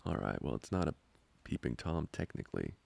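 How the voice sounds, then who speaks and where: A man acts out a protective, easily riled young boyfriend in an improvised ASMR roleplay. His voice sits extremely low, thick with gravelly fry, and swings widely in pitch as he grumbles. The delivery is halting and sarcastic. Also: sing-song, darkish tone, heavily acted. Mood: indignant. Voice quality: very deep, gravelly, husky.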